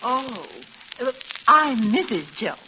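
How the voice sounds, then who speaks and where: An actress playing a chatty, dithery shopkeeper's wife in an old-time radio comedy. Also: female voice, fairly high pitch, very animated, slow. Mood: flustered politeness. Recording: very noisy, muffled vintage radio recording.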